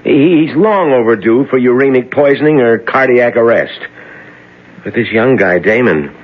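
An actor playing an earnest young doctor who speaks hesitantly and apologetically. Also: male voice, medium pitch, animated, moderate pace, natural voice. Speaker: junior hospital doctor. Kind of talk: 1970s radio drama acting. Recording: dull lo-fi vintage radio recording.

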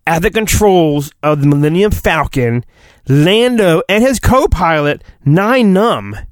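A man's voice: fairly high pitch, lively intonation, slow, dry recording, clean studio sound. A man hosting solo, reading a storybook in mock-dramatic fashion with rambling, sarcastic asides. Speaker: solo podcast host.

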